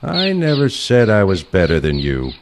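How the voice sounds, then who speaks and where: A man playing a principled, weary older assassin in an audio play, speaking in a dry, terse, deadpan manner. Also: on the low side, moderate pace, a little hiss or hum. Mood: reluctant, unimpressed.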